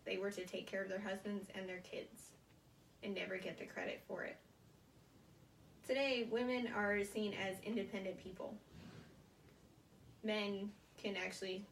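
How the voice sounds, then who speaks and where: A young American woman giving a prepared informative speech as a student, speaking haltingly in short phrases, recorded at a distance in a room. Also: medium pitch, gravelly. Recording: roomy, distant mic, faint noise.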